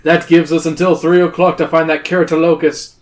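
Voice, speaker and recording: A reader as an excitable, bossy lady teacher. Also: mid-range voice, brisk, natural voice. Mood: bossy, shouting. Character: excitable, bossy woman teacher leading a jungle hike.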